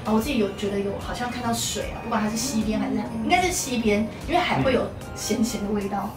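A young woman with a Taiwanese Mandarin accent, hosting a chatty promotional interview in an expressive, enthusiastic way. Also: medium pitch, quick pace. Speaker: host. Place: small wood-panelled room indoors. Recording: roomy, distant mic, music behind.

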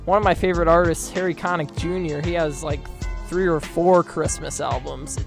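A man's voice: fairly high pitch, steady tone, moderate pace, vocal fry. A young man hosting as a college radio DJ in an upbeat broadcast style. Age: young adult. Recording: music bed behind the voice.

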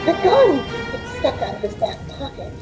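A woman's voice: high voice, huge pitch swings, moderate pace, over music. A middle-aged woman playing a frightened, desperate captive who tells her ordeal in old-time radio suspense style. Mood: tense, hushed. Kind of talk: old-time radio suspense acting, first-person narration. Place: indoors at home, on a video call.

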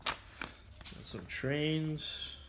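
A man casually showing off and reviewing a vintage building set, rambling with fillers, in a cluttered toy room. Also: a little high. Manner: unhurried, rambling, with frequent fillers. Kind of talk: casual show-and-tell commentary. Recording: noisy home video.

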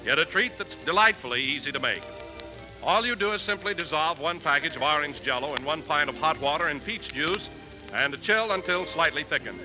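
Old time radio ad guy, high voice, eager pitchman, music behind him. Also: expressive, brisk, low-quality recording. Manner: enthusiastic sales patter. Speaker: radio announcer. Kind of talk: 1940s radio commercial announcing. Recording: vintage radio broadcast, music and audience behind.

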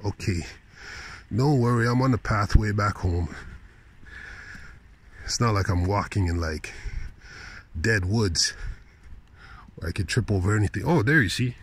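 Husky man rambling casually. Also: lowish voice, a little slow, long pauses. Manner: rambling, incredulous, slangy. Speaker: vlogger. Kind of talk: casual rambling monologue while walking. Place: outdoors at night on a park path. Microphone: handheld phone.

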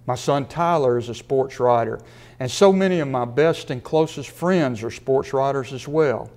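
A man in his sixties from Mississippi, a sports writer delivering a prepared acceptance speech in a measured way with a dry wit. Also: medium pitch, a little mellow. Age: 60s. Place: studio with a sponsor backdrop.

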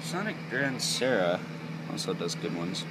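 A man's voice: sits low, sing-song, measured pace, very noisy. A young man giving a casual, off-the-cuff build tutorial in a rambling, jokey, self-deprecating way. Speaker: toy build video host.

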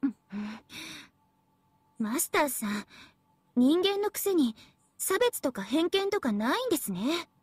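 A young female AI devil girl for an anime game. The voice is very high, sweet and girlish, with a dry studio sound. She is smug and playful, talking down to people with mock politeness. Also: sing-song, measured pace, no echo. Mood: condescending. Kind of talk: Japanese anime game voice acting.

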